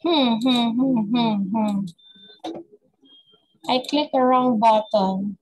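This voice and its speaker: A young woman from the Philippines tutoring a child in English on a video call through a headset, patient and slow, often repeating the child's answers. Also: a little high, a little mellow, low-quality recording. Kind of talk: online lesson with a child.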